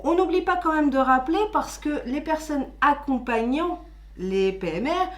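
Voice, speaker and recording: A woman, co-hosting a channel and explaining things patiently in casual chat. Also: sits high. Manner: chatty, explains patiently with filler words. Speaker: co-host of a theme-park channel. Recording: echoey room.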